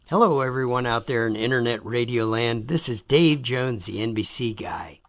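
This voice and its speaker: A man's voice: mid-range voice, normal speed. A man delivering a scripted, radio-style promo for his audio project. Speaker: audio drama producer.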